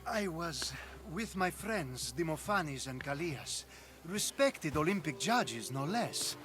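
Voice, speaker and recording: An actor playing a flamboyant, flirtatious Greek aristocrat in a video game, languid and suggestive in a theatrical way. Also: male voice, a little high, sing-song, takes it slowly, music in the background. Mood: breezy, teasing. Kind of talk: video game cutscene acting.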